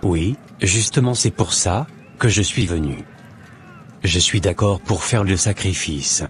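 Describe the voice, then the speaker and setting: A man's voice: sits low, measured pace. A man voicing a scheming would-be false pastor in a French cartoon. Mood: impatient. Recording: noisy ambience behind the voice.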